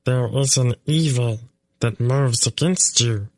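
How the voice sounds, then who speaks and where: A man's voice: mid-range voice, takes it slowly, no echo, clean studio sound, pitched above the performer's own voice, lightly acted. A man voicing a small furry male fenling creature with a piping, chittering voice and formal, earnest speech. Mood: solemn. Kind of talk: audiobook character voice.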